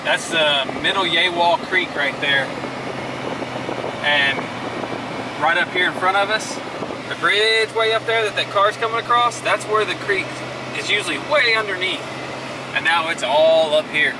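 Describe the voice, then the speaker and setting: A man's voice: high voice, measured pace. A middle-aged man with a Texas accent chatting casually in a plain-spoken, folksy way while driving. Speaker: farm vlogger. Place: inside a moving pickup truck cab. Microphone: camera held from passenger seat. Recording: distant mic, loud road and vehicle noise.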